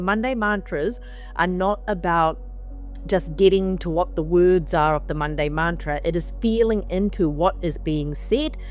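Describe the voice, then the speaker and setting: A lower-voiced woman talks through a spiritual mantra in an easy, wandering way, circling back over phrases to stress them. Her voice is a little nasal and sniffly from allergies. Faint music plays underneath. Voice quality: slightly nasal from sinuses. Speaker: spiritual therapist hosting a weekly mantra episode. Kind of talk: casual spiritual talk. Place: on the road while traveling.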